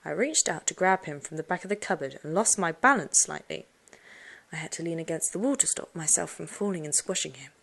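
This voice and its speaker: A woman with a low voice narrates a children's story in the first person. Her reading is highly animated and suspenseful, moving between expressive emphasis and hushed tones. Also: a little fast, vocal fry, slightly rough. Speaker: audiobook narrator.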